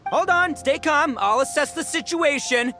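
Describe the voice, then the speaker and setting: A man's voice: extremely high pitch, moderate pace, over loud music. A man playing a brash, cocky young oni gang boss in an anime game, bombastic and theatrical in delivery. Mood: cocky appraisal.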